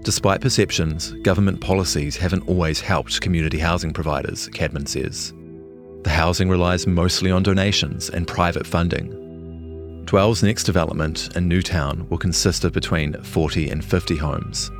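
A man's voice: low-pitched, moderate pace, music in the background. A man reading a scripted longform news feature as a journalist narrator.

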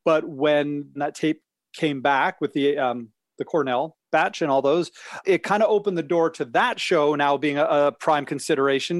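Male interview guest, archivist type, thinks aloud through fillers, a bit higher voice, dry recording. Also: no echo. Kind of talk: casual interview talk.

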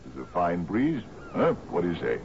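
An actor in a radio drama playing an anxious, genial older island captain, halting and stammering as he pleads. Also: low-pitched, wildly swooping pitch, a little mellow, full character voice. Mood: genial, coaxing. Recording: muffled, hissy old radio broadcast.

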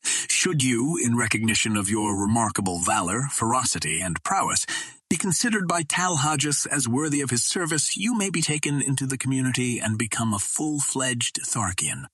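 Stern, husky Martian warrior chieftain read by a man. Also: mid-range voice, expressive, a bit bright, no room sound. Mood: stern, solemn. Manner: formal, stern, measured.

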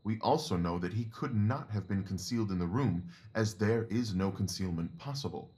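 An actor playing a keen, self-assured Victorian detective in an audio drama, speaking in a precise, deliberate way. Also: male voice, fairly low pitch, a little mellow, natural voice. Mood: impatient, didactic.

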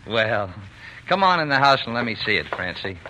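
A man's voice: medium pitch, sing-song, unhurried. An actor playing a cheerful, dreamy working-class father, fond and boastful, folksy and soothing, in a 1940s radio drama. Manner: folksy, soothing, playfully exaggerating. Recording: faintly noisy 1940s radio broadcast.

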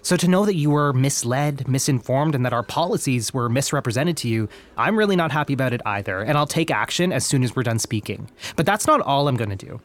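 A man played by an actor works as a phone company service rep in an audio drama. He is polite and placating, with medium pitch that moves up and down a lot and a rehearsed sincerity. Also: animated, fast, lightly acted. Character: smooth, placating young male phone company representative. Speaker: customer service representative.

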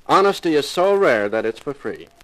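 An actor playing a sardonic, flirtatious hard-boiled private eye in 1950s radio drama style. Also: male voice, on the high side, big pitch swings, normal speed, a bit bright, rough recording. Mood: flippant. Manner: sardonic, flippant.

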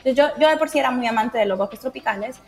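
A woman's voice: a little high, rapid-fire, soft background music. A woman from Honduras, giving casual interview answers in an enthusiastic, explanatory way. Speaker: interviewee, project team member.

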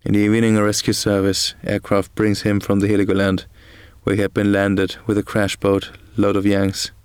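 An actor playing a proud young wartime fighter pilot, reading his logbook in a measured, restrained manner. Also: lowish voice, monotone, darkish tone, clean tone, no echo, natural voice. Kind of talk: dramatised diary reading.

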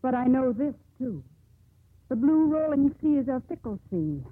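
A woman's voice: high-pitched, very animated, moderate pace, slightly rough, no room sound, phone-quality sound, heavily acted. A determined young woman of the 1840s who longs for the sea. She speaks with poetic, insistent conviction in old radio drama style. The recording is thin and band-limited, like a vintage broadcast. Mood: lyrical narration. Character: headstrong young sea captain's daughter of 1845. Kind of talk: 1950s radio drama acting.

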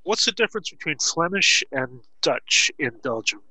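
A man hosting a podcast interview, friendly and halting, with frequent filler words. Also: a little high, big pitch swings, sharp tone, no room sound, poor audio. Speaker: podcast host. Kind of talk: casual interview chat.

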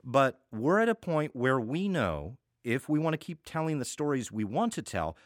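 A man's voice: mid-range voice, sing-song, moderate pace, no echo, clean studio sound. A man hosting a casual podcast chat, often restarting his phrases as he goes. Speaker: podcast host and audio drama writer.

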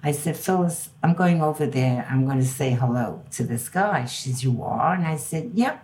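Older New York woman, low voice. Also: moderate pace, low-quality recording. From Belgium. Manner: animated storyteller, acts out quoted dialogue. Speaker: guest. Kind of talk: storytelling interview.

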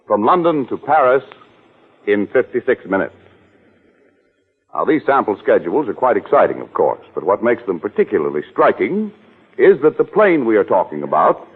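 Animated radio announcer, man. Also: medium pitch, slightly rough, narrow, tinny audio. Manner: emphatic, measured, declamatory. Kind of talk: 1940s radio announcing, scripted ad and story narration.

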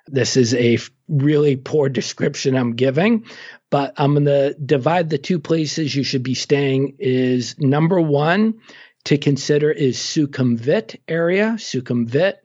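A man giving relaxed, informative travel advice in a casual conversation, with frequent fillers. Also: mid-range voice, a little slow, breathy edge, muffled recording. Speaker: travel guest expert.

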